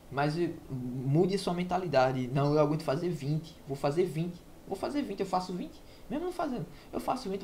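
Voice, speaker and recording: A man's voice: on the high side, darkish tone. A man hosting a self-help monologue. He talks casually and preachily and keeps tossing in rhetorical questions. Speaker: self-help channel host. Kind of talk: motivational monologue to camera. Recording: faint background noise, lo-fi app.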